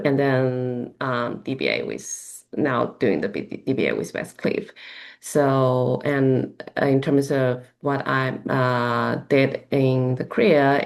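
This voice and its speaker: A woman's voice: a bass voice, gravelly. A woman student introducing herself on a video call, speaking hesitantly with many fillers. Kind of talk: self-introduction in class.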